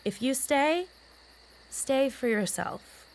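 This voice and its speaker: A woman's voice: high-pitched, animated, takes it slowly, slight background noise, full character voice. A woman playing a frank, troubled teenage girl in a naturalistic audio drama, speaking candidly and casually. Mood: resolute. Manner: candid, colloquial, mildly profane. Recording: faint outdoor night ambience with crickets.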